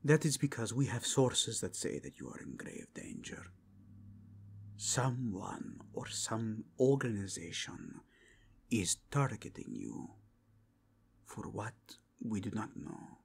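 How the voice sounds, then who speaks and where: A man's voice: fairly low pitch, animated, takes it slowly, creaky, darkish tone, a little hiss or hum, exaggerated voice. A man voicing a zealous, courteous inquisitor with formal, grave, archaic phrasing in a fantasy ASMR roleplay. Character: zealous male inquisitor, solemn, courteous, devoutly faithful.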